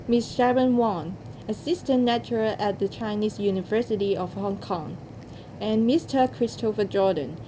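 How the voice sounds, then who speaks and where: A woman's voice: mid-range voice, noisy background. A woman co-hosting a results announcement, reading from a script.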